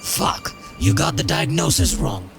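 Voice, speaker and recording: A man's voice: medium pitch, very animated, unhurried, gravelly, full character voice. An actor playing a blunt, exasperated, foul-mouthed male mentor in a horror video game. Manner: blunt, swearing, instructive. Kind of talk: video game character dialogue. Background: game music.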